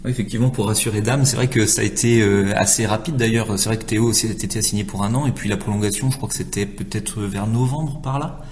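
A man with a fairly low voice comments as part of a question session. His delivery is casual and informal, feeling for his phrasing as he goes. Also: quick pace, few pauses, a little mellow.

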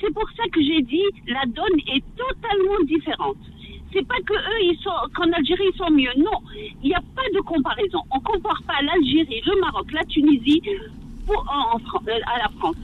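A woman's voice: very high voice, lively intonation, normal speed. Distant tinny phone, woman debating. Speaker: radio phone-in caller. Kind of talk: live opinion debate. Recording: distant, thin phone line, faint noise.

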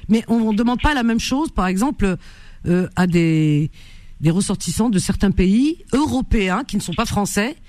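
A woman hosting a call-in radio show, talking in an exclamatory, expressive way. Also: medium pitch, normal speed, no room sound. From Algerian roots.

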